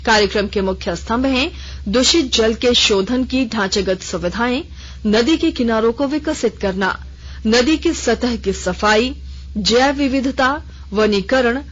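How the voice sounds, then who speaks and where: A woman newsreader delivers a formal regional bulletin at a measured, even pace. Her voice sits fairly high, and the sound is dull and lo-fi like an old radio broadcast. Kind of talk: formal news bulletin reading.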